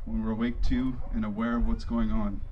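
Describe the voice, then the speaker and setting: A man in his thirties earnestly reading a prepared speech in a measured way into a microphone on an outdoor stage. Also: on the low side, level tone. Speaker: speaker reading a tribute speech. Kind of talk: prepared speech read aloud to outdoor crowd. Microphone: handheld microphone through PA. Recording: dull PA sound with light outdoor noise.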